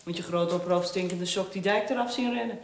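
A woman's voice: on the low side, brisk, creaky. An actress playing a tough, scornful young woman in a stage play, speaking bluntly. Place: bare hall with earth floor. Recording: echoey room, slight background noise.

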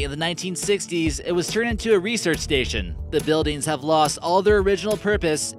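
A man reading a scripted countdown narration in a casual tone with light wry asides. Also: on the high side. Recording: voice-over with background music.

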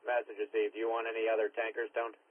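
A man's voice: fairly low pitch, monotone, fast talker, noisy background. A man working as an emergency dispatcher, giving clipped, procedural calls over a two-way radio, repeating units and times. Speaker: fire and ambulance dispatcher. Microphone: dispatch radio microphone. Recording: narrow, tinny scanner radio audio.

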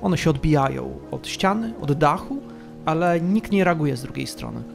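A man runs a tabletop game as its narrator. His voice sits a little high, and he tells the scene with deliberate, dramatic pauses. Light music plays underneath. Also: animated, creaky. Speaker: game master.

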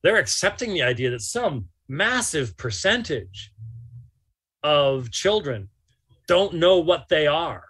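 Slow, husky man, emphatic commentator. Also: fairly high pitch, very animated, fairly bright tone. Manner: emphatic, incredulous, repeats phrases for stress. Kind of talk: live unscripted commentary. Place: small motorhome interior.

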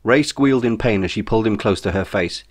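A man's voice: lowish voice, a little fast. A man reading a comic novel as scripted audiobook narration, in a wry storytelling manner. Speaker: author reading own novel.

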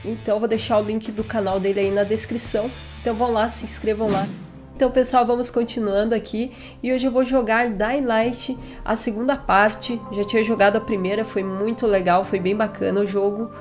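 A young woman hosting a gaming channel, chatting casually through a let's play on a headset mic, still a little hoarse from a cold. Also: a little high, fairly even intonation, brisk, a little mellow, soft background music. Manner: chatty, jokey asides to the game characters. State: recovering from a cold, slightly hoarse.

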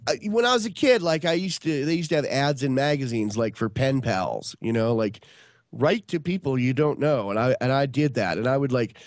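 A man's voice: medium pitch, expressive, moderate pace, no echo. Rambling man in his fifties, dry close mic. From upstate New York. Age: 50s. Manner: rambling, many restarts and fillers. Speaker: podcast guest. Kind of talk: casual storytelling chat.

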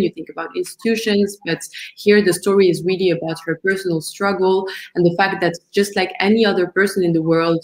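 A woman's voice: on the low side, reverberant, poor audio. A woman founder presenting her project on a video call, earnest and thoughtful, with frequent ums and restarts. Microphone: video call webcam.

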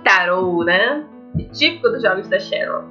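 A woman's voice: mid-range voice, animated, a little slow, bright, forceful tone. A young adult woman with a Brazilian accent, hosting a casual let's play, chatting to viewers and reading game text aloud. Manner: chatty, addresses viewers with frequent tag questions. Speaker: gaming video host. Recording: slightly dull mic over game music. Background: faint game music.

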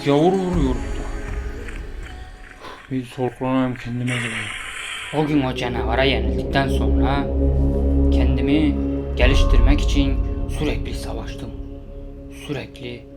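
A man's voice: mid-range voice, normal speed, pauses often. A man hosting a gaming video, giving casual, slangy commentary with playful exclamations and improvised role-play. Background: loud plucked-string game music.

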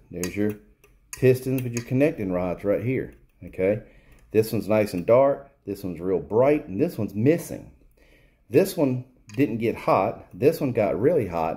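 A man's voice: on the low side, dark tone. A man in his workshop explaining a pump repair off camera, talking in a loose, plain way with fillers and restarts. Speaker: repair technician. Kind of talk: casual hands-on repair demo. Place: workshop, off camera behind the bench.

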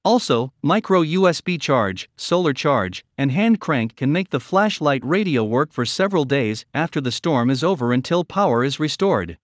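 Man narrating products, lively pitch. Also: moderate pace, hardly any pauses, no echo, studio quality. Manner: reading from a script. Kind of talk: scripted promotional voice-over narration.

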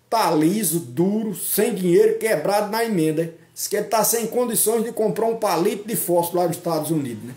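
A man's voice: sits high, a little mellow, echoey room. An older man from Northeast Brazil vlogging outdoors into a phone, chatty and mocking, tagging his sentences with questions. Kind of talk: casual political commentary vlog. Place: outdoors on a tree-lined park road. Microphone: phone camera at arm's length.

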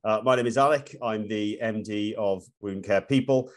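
A man's voice: lowish voice, normal speed. A man in his forties hosting a live webinar from home over a video call, with plenty of fillers and restarts. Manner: hesitant, many fillers and restarts. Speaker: webinar host. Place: room at home. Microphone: laptop webcam on a video call.

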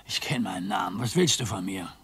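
Grumpy bored retiree, older man, gravelly, husky, defensive. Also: sits low, a little fast, a bit bright, no room sound, full character voice. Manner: grumbling and defensive. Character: grumpy bored older male retiree, former master craftsman. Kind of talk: radio play acting.

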